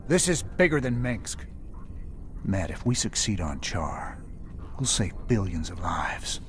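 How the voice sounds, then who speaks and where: A man playing a stern, world-weary outlaw commander in a video game, talking in a terse, gruff, folksy manner. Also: sits low, big pitch swings, measured pace, noisy background. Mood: firm. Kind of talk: dramatic video game dialogue.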